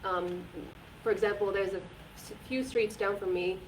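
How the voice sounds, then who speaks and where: A woman, co-hosting a relaxed live stream and talking earnestly and chattily, often restarting her sentences. Her voice sits at an ordinary middle pitch. She sounds set back from the microphone, with a faint background hum. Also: normal speed. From Finland. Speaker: co-host. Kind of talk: casual live-stream chat. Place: community repair centre. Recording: distant mic with faint background noise.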